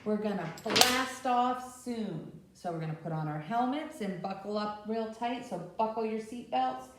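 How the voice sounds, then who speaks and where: Woman doing kids storytime, talks slow n simple, really echoey room, mic kinda far off. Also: fairly high pitch. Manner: simple, instructional, addressing young children directly. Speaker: children's librarian leading story time. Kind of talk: children's story time, explaining and leading action songs. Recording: echoey room, distant mic, faint noise.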